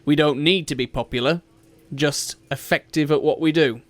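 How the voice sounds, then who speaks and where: A man voicing a gruff, dismissive male city guard who barks short orders. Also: a little high, normal speed, no echo, pitched above the performer's own voice, lightly acted. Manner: curt, dismissive, bossy.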